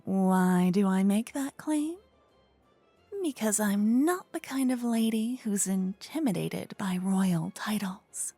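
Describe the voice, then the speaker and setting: A woman playing a flirtatious, witty royal tailor in a scripted fantasy ASMR roleplay, breathy and often whispering, with a teasing, refined, gossipy manner, close to a binaural microphone. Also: mid-range voice, expressive, slow pace, mellow, no room sound, soft background music, exaggerated voice. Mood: teasing, confident.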